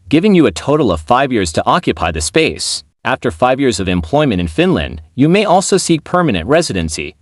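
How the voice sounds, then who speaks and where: A man's voice: medium pitch, no room sound, clean studio sound. A man narrating an information video, reading a scripted voice-over aloud.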